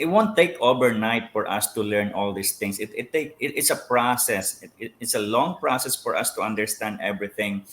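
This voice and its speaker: A middle-aged man with a Filipino accent, a pastor answering interview questions in halting speech with many fillers and restarts. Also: fairly low pitch, fairly bright tone, slight background noise. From Philippines. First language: Filipino language. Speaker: pastor, guest.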